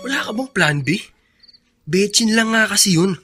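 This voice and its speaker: An actor in a Filipino radio drama playing a scheming accomplice with an offhand, conspiratorial manner. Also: male voice, high voice, expressive, normal speed, sharp tone, faint music behind. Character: scheming, casually callous male accomplice.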